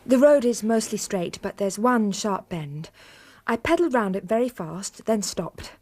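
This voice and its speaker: A woman's voice: mid-range voice, lively intonation, measured pace. An actress playing a spirited, determined young woman who teaches music, in a radio drama. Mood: recounting.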